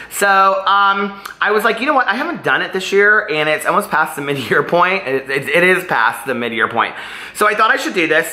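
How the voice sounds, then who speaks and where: A man's voice: on the high side, pushed and bright, echoey room. A man talking casually in a book vlog, chatty, gushing and emphatic, wandering off on tangents. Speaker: book vlogger.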